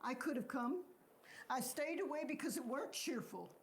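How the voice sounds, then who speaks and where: A woman's voice: high voice, expressive, moderate pace, a little hiss or hum. A woman playing a regretful, plain-spoken country farm wife in a stage drama, with a hesitant, folksy way of talking. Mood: wistful, regretful. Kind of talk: stage drama dialogue acting.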